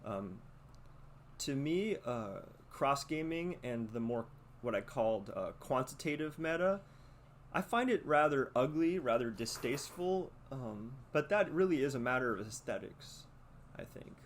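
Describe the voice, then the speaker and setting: A man hosting a podcast monologue, speaking in a halting, deliberate and reflective way. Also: mid-range voice, lively intonation. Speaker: podcast host. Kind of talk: podcast monologue with read-out letter and commentary. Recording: noticeable background noise.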